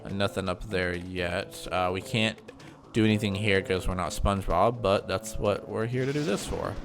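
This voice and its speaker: Man, fairly low voice, slightly nasal, mildly congested, casual gameplay commentary, rambling with ums, soft music under it. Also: moderate pace. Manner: chatty, rambling, with frequent ums and self-corrections. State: mild sinus congestion. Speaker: gaming channel host.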